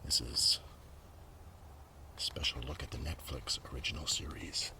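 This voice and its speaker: A man speaking in a hushed ASMR style as he presents items to the viewer, often slipping into whispers. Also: a bass voice, fairly even intonation, moderate pace. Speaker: ASMR video host. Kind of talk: ASMR, soft-spoken show-and-tell with some read-out text. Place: outdoors. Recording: distant mic, loud outdoor background noise. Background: breeze and outdoor ambience.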